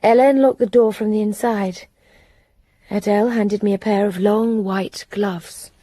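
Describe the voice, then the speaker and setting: A frightened young English woman, played by an actress, telling her story in a soft, hushed voice. The tone is dark and breathy, and the dry, close sound suits a radio drama. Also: medium pitch, fairly even intonation, a little slow, no room sound, lightly acted. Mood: recounting. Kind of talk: radio drama narration and acting.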